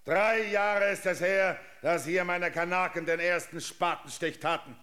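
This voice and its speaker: An actor playing a smug, profit-minded colonial captain in a German radio drama, pompous and declamatory. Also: male voice, high voice, fairly even intonation, measured pace, pushed and bright. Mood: triumphant. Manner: self-satisfied, declamatory, smoothly pompous.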